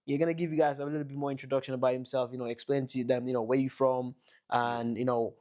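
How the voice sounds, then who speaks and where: A male host with a mixed accent rattles along quickly at a middling pitch. He keeps the chat loose and friendly in tone, filling his questions with plenty of "you know". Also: level tone, darkish tone. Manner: chatty, heavy on "you know" fillers. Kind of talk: casual interview.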